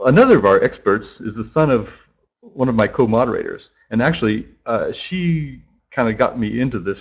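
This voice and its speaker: Middle-aged male teacher, interview guest, rambling and conversational, average pitch. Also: gravelly, poor audio. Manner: rambling, thinking aloud with many restarts and fillers.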